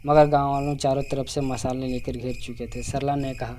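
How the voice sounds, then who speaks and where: A man's voice: medium pitch, flat delivery, moderate pace, warm tone, a little hiss or hum. A man narrating a scripted cartoon folk tale as storyteller.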